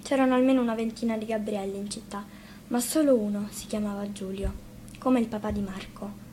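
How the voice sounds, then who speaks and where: A woman reads a fairy tale aloud as a storyteller, in an expressive scripted narration. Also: mid-range voice, brisk. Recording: clearly noisy live radio studio recording.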